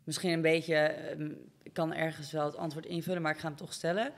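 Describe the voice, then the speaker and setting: A woman's voice: sits low, little pitch movement, fairly quick. A young adult woman asking questions as the interviewer in a casual, conversational style. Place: hair salon, seated on a sofa. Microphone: stand microphone close to mouth.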